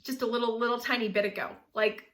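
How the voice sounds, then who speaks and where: A woman of about forty chats straight to her viewers in a lively, animated way, often breaking into laughter. Her voice sits high and sounds bright and forward. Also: fast. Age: 40s. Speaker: vlogger. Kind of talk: casual talk to camera.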